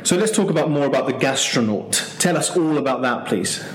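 A man hosting a podcast, asking questions in a halting way with repeated words. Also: mid-range voice, expressive. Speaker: podcast host. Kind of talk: casual interview questions. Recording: roomy echo.

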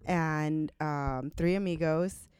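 A woman's voice: sits low, fairly even intonation, slow, a little mellow, clear, resonant tone, no echo. A woman in her thirties co-hosting a podcast with her husband, dry and teasing, with a lingering cough. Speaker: co-host, host's wife. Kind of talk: casual chat.

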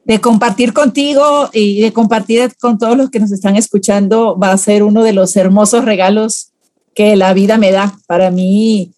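A woman in her fifties with a mellow, warm voice. She talks reflectively about her career as a podcast guest, pausing on fillers. The sound is dry and close. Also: mid-range voice, steady tone, no echo. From Venezuela. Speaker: guest, public relations consultant. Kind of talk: podcast interview.

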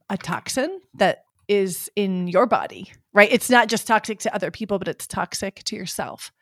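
Low-voiced woman host, dry sound. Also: dry recording. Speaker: podcast host. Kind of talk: interview conversation.

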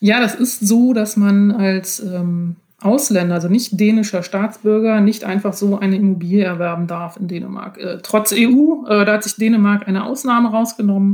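A woman's voice: mid-range voice, steady tone, moderate pace, few pauses, darkish tone. A woman speaking as a guest author in a casual podcast conversation, explaining things in a reflective way.

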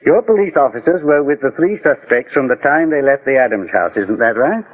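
An actor playing a composed, authoritative American private investigator in a 1940s radio drama, speaking formally and deliberately. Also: male voice, medium pitch, animated, phone-quality sound, close to an everyday voice. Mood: firm, confident. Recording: thin, band-limited 1940s radio recording.

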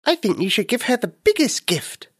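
A woman voicing a kind, helpful firefly in a children's story, with a bright, encouraging manner. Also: on the high side, big pitch swings, normal speed, breathy edge, voice pushed up.

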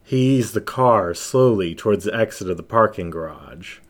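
A man's voice: lowish voice, lively intonation, moderate pace. A man narrating a scripted story as its reader.

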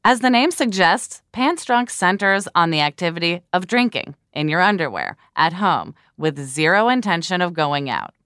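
Woman podcast co-host, lowish voice, teasing and dry, dead-dry studio sound. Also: very animated, normal speed, hardly any pauses, a bit bright, clean tone, no echo. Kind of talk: casual chat and reading steps aloud.

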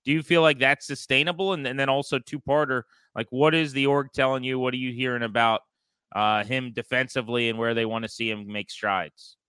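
A man's voice: medium pitch, fairly even intonation, a little fast, pushed and bright, clean tone, no echo. An American man hosting a sports talk show, giving commentary and putting questions to a guest.

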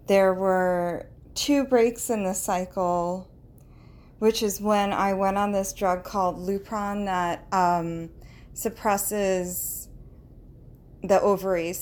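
A woman's voice: mid-range voice, fairly even intonation, slow, darkish tone. A woman in her thirties speaking casually and reflectively, as if recording a personal voice memo, with some hesitation. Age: 30s.

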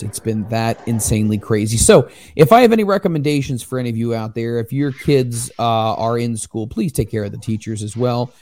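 Excitable, profane man hosting a podcast. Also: medium pitch, dry recording. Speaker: podcast host. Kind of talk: casual wrestling podcast chat.

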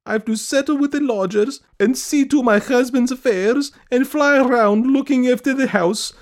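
A man voicing a whining, stubborn middle-aged woman who pleads her case in a shrill, insistent wail from a storybook. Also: very animated, a little mellow. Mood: wailing, pitiful. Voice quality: shrill, piping. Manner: wailing, insistent, rapid complaining.

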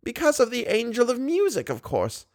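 A man's voice: very high voice, wildly swooping pitch, normal speed, voice pushed up, exaggerated voice. A man reading aloud, voicing a kindly, bedridden old woman who speaks with simple, cheerful faith. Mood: earnest.

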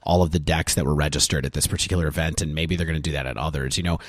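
A man's voice: rumbling low, fairly even intonation, very fast, no echo, clean studio sound. A man co-hosting a podcast, casually talking through data at length in a thoughtful way. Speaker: podcast co-host.

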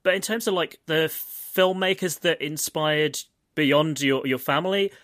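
A man's voice: fairly high pitch, a little slow, a bit bright. A man hosting a podcast in a casual, friendly way, with lots of fillers. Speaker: podcast host. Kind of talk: casual chat.

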